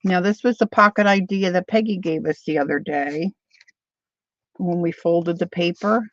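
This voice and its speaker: An older woman chatting casually to viewers while she crafts, thinking out loud as she works. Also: lowish voice, normal speed, dry recording. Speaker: craft livestreamer. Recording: dull lo-fi dry sound.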